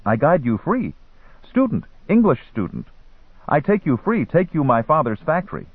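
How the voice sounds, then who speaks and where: An eager teenage boy hustler from an audiobook story, acted out by a man. The voice is raised high and bounces around as it wheedles and insists in broken, English. The sound is thin and narrow, like radio. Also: very animated, normal speed, breathy edge, no echo, exaggerated voice. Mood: wheedling, insistent.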